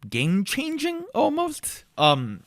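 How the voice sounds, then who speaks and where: A man's voice: extremely high pitch, huge pitch swings, slow pace, no echo, studio quality. A man co-hosting a music podcast in casual chat, rambling with frequent ums and self-corrections. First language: Korean. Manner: rambling, many ums and restarts, laughs at himself. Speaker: podcast co-host.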